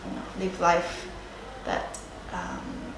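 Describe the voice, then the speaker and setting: A woman from Japan with a Japanese accent, a native Japanese speaker giving reflective, unscripted interview answers, hesitant and searching for words with frequent pauses. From Sendai area, Japan. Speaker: interviewee, yoga and Japanese teacher. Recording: roomy echo, clear background noise.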